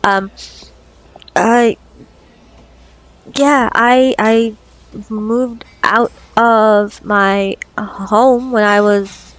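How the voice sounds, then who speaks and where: Woman acting a flustered, halting young girlfriend. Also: a little high, fairly even intonation. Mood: shy, flustered. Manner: stammering, nervous, halting, self-conscious. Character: flustered, awkward young woman doctor on a date. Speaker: ASMR roleplay performer. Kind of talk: ASMR roleplay acting.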